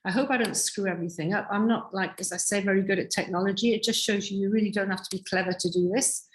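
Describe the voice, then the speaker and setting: A woman giving an insistent sales pitch on a video call, a little croaky and repeating her points with emphasis. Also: fairly even intonation, fast, slight background noise. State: croaky from illness.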